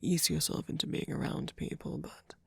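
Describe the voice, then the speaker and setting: A man playing a gentle, centuries-old vampire boyfriend in an ASMR roleplay, speaking softly in a breathy, husky half-whisper with a hesitant, comforting manner. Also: normal speed, no room sound, lightly acted. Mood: earnest, reassuring. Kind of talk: ASMR roleplay, soft intimate monologue.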